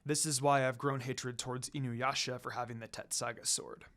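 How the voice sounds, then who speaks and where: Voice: medium pitch, steady tone, moderate pace, fairly bright tone, pitched below the performer's own voice, natural voice. A storyteller voicing a cold, proud male demon lord in a fan fiction reading, murmuring and stern. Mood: brooding, bitter.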